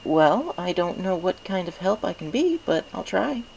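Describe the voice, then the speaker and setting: Storybook unicorn mare, played by a man: young, studious, earnest, breathy, pitched well up, slight background noise. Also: sing-song, normal speed, a little mellow, full character voice, low-quality recording. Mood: helpful. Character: young female unicorn scholar, bookish, startled, concerned. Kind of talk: acted audiobook character dialogue.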